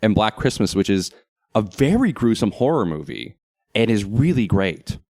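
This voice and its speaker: A man co-hosting a casual, humorous chat, telling stories in a wry, animated and opinionated way. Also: on the low side, moderate pace, dry recording, studio quality. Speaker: talk show co-host.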